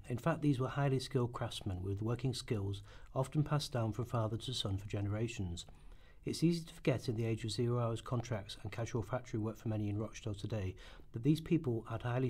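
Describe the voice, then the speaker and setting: Slightly husky male narrator. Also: medium pitch, fairly quick, a little mellow. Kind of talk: scripted documentary narration for a radio play rehearsal.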